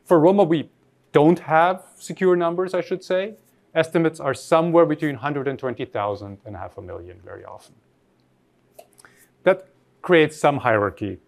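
A man's voice: a little high, moderate pace, vocal fry. A man from Austria, giving an academic lecture in a thoughtful way, often rephrasing mid-sentence and putting questions to his audience.

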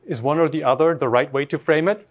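A man's voice: on the high side, fast talker. A man from Austria, giving an academic lecture in a thoughtful way, often rephrasing mid-sentence and putting questions to his audience.

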